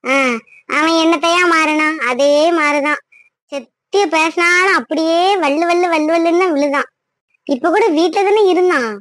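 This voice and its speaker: Very high, squeaky cartoon mother. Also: moderate pace, fairly bright tone, dry recording, clean studio sound. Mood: fretful, complaining. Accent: southern Tamil Nadu. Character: chatty, worried, complaining village mother of a wayward son.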